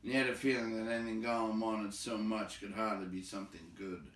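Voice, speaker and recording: A man reading a storybook aloud as expressive scripted narration. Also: fairly low pitch, fairly quick. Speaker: storybook reader. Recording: echoey room, distant from mic.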